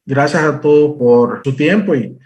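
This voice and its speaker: A man's voice: medium pitch, measured pace, darkish tone, dull audio. A young man with a Dominican Spanish accent giving a technical product presentation, explaining step by step with many fillers and restarts. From Dominican Republic. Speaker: presales engineer presenting.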